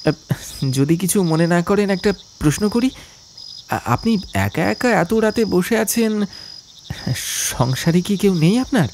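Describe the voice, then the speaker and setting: Uneasy young male traveller acted by a man, raised pitch, halting and stammering, horror audiobook, cricket-like night ambience behind. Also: animated, a little mellow, a little hiss or hum. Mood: hesitant, curious. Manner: halting, stammering, polite questions. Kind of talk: audio horror story acting.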